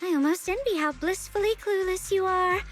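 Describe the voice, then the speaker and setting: A woman's voice: very high voice, fairly quick, soft background music. A woman playing a smug, sarcastic young customer-service agent in an anime-style video game, mock-polite and theatrical. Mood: sarcastic, mock-sweet.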